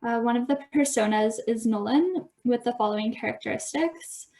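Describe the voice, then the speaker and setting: A 25-year-old woman from Alberta presents a project, measured and explanatory. Her voice is on the high side, with plenty of vocal fry. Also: mellow. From Alberta, Canada. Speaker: student presenter.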